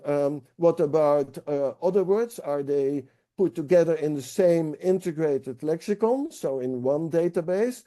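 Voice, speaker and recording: A man explains research in an interview. His voice sits a little high but sounds deeply mellow and warm. He pauses often and restarts his sentences as he thinks. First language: Dutch. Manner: thoughtful, halting with frequent fillers and restarts. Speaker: senior researcher guest.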